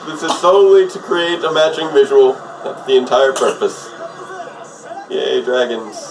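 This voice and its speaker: A man's voice: medium pitch, steady tone, a little slow, slight background noise. A man giving casual, off-the-cuff live commentary on a show, hesitantly musing aloud as he goes. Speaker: host of a live reaction show. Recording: distant mic, TV show music behind. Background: television show playing with music.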